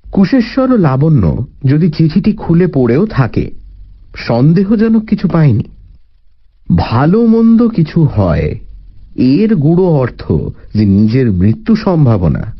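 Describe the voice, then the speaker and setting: A man narrating a detective story in a dramatic, theatrical, expressive style. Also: a little high, slow, long pauses, muffled recording.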